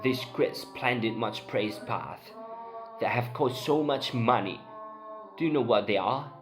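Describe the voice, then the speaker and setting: A man's voice: mid-range voice, unhurried, natural voice. Man acting an indignant, emphatic town doctor, music behind. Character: excitable crusading male town doctor, proud and indignant. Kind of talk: amateur dramatic play reading. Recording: voice over prominent background music.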